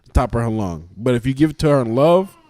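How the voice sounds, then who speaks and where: A man's voice: mid-range voice, quick pace, dry recording, studio quality. A man in his thirties co-hosting a podcast, chatting casually and telling stories with a lot of laughter. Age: 30s. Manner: animated storytelling, laughs often. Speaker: podcast co-host. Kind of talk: casual chat.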